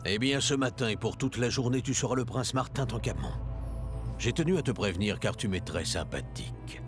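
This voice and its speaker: A man's voice: medium pitch, animated, a little fast, natural voice. A man playing a benevolent, solemn ancient Egyptian god in a cartoon, speaking in a stately, formal way. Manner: stately, formal, old-fashioned wording. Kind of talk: French cartoon dubbing. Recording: music behind the voice.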